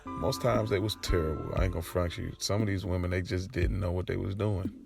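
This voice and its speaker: A low-voiced American man narrates a first-person audio drama over a clear music bed. His voice is gravelly and creaky. He talks in relaxed street slang, like he is recounting it all to a friend. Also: brisk. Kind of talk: first-person storytelling in casual street vernacular.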